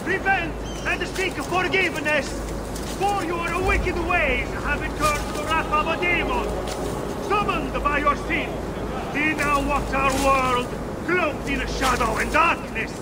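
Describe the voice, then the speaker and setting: An actor playing a fiery, fearful town priest in a video game, declaiming grand warnings and scripture. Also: male voice, extremely high pitch, slow pace, heavy background noise. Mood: fervent warning. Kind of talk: dramatic sermon, video game acting.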